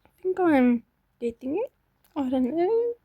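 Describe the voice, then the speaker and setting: A woman's voice: sits high, sing-song, unhurried, no room sound, phone-quality sound. A young woman chatting casually to herself while playing a game, self-doubting and talkative. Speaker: gaming channel host. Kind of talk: casual gameplay commentary.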